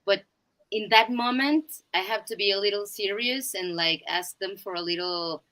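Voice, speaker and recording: A woman educator answering a panel question gently, with many fillers. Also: mid-range voice, normal speed, poor audio.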